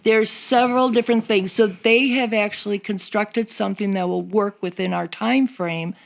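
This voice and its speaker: An older American woman with a creaky voice, chatting conversationally and announcing an event as a member of a church group. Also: mid-range voice, fairly even intonation, normal speed. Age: older, over 50.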